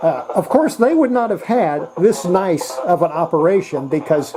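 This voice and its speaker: A presenter giving a casual hands-on technical demonstration, thinking aloud in a halting way. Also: mid-range voice, animated, poor audio. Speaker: presenter demonstrating antique radios.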